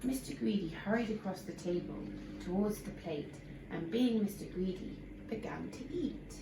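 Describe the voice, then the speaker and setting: A woman narrating a children's story expressively, with deliberate, dramatic emphasis. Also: mid-range voice. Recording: noisy amateur recording, clear background noise.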